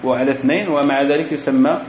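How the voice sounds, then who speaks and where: A man's voice: medium pitch, fairly even intonation, quick pace, slight background noise. A man giving a grammar lesson in a methodical way, repeating key phrases and quizzing his students. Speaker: grammar teacher. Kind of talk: classroom grammar lecture. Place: lecture hall. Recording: echoey hall, dull lo-fi recording.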